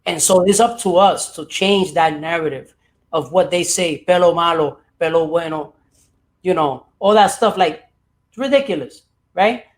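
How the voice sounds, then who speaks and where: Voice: mid-range voice, normal speed. A podcast host in conversation, affirming the guest and tagging points with "right?". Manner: warmly affirming, frequent "right?" tags. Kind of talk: conversational interview.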